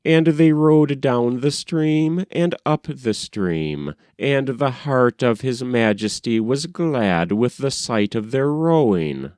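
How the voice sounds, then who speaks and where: A man narrating an ancient tale as an audiobook reader, in a measured, solemn storytelling manner. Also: medium pitch, lively intonation, a little mellow, dry recording, clean studio sound.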